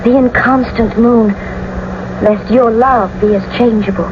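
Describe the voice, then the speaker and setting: A woman's voice: fairly high pitch, fairly even intonation, unhurried, close to an everyday voice. An actress playing a tender, anxious, lovestruck young noblewoman in a classical radio drama, theatrical and earnest. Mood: earnest, cautious. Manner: theatrical, earnest, romantic delivery. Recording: muffled, noisy old radio broadcast recording.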